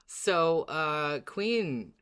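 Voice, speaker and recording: A woman with an extremely low voice co-hosts a casual fan podcast. Her delivery is measured, and she likes to repeat a phrase for emphasis. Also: animated, a bit bright, smooth. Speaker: podcast co-host.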